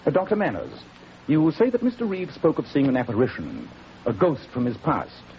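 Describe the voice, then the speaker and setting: An actor playing a confident, courteous detective in 1940s radio style, with a precise British manner of speech. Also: male voice, medium pitch, huge pitch swings, normal speed. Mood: keen, probing. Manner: precise, assured, formal. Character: famous male detective, keen, confident and courteous. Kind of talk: 1940s radio drama acting. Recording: dull, hissy old radio recording.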